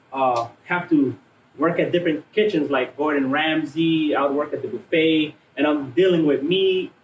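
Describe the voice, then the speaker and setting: A man's voice: fairly high pitch, slight background noise. A man in his thirties from Hawaii, raised in Las Vegas, telling his story casually with many fillers and restarts. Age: 30s. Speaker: vegan cook and guest. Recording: echoey room from a distant mic.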